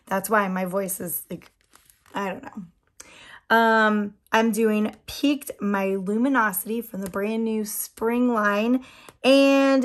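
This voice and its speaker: A woman chatting casually while doing her nails, her voice a bit worn from losing it, rambling with frequent ums and little laughs. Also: fairly high pitch. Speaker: nail product seller and channel host. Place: indoors at a tabletop.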